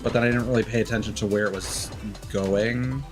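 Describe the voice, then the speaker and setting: A man's voice: on the low side, fairly even intonation, brisk. A man giving casual live gameplay commentary as a streamer, thinking aloud in loose, candid asides. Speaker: game streamer. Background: game music.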